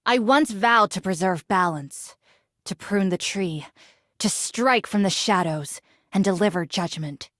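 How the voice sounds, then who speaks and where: A woman's voice: mid-range voice, a little slow, a bit bright, dry recording, studio quality, lightly acted. An actress playing a solemn, gracious, devout woman warrior of an ancient order in a video game, with formal, archaic phrasing. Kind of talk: video game character voice lines.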